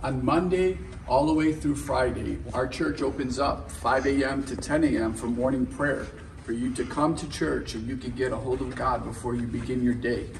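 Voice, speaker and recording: A man's voice: mid-range voice, fairly even intonation, moderate pace, vocal fry. A middle-aged man delivering scripted church announcements to camera. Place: large indoor church foyer and halls. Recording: roomy, distant, noisy hall sound.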